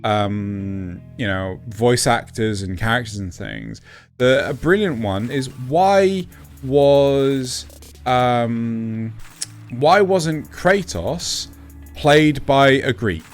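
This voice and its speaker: A man with a Kent English accent chats on a podcast in a mid-range voice, animated and emphatic, often checking in with "right?". Soft music plays faintly behind him. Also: mid-range voice, very slow. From Wales. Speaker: podcast co-host. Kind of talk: casual chat.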